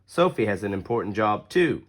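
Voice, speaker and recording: A man reading a children's picture book aloud as an expressive, deliberate storyteller. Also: lowish voice. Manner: deliberate storytelling with acted-out dialogue. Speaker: story reader.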